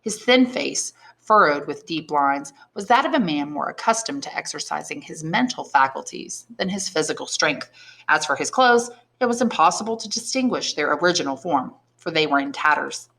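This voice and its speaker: Woman teacher reading an old novel out loud, lowish voice, expressive storytelling. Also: normal speed, vocal fry. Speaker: English teacher reading aloud. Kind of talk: expressive audiobook narration with brief explanations.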